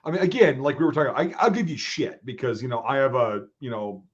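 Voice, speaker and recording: A man with a Northern Midwest accent, thinking out loud in a casual conversation. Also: mid-range voice, fast. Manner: rambling, restarts, thinking aloud. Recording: dull lo-fi sound.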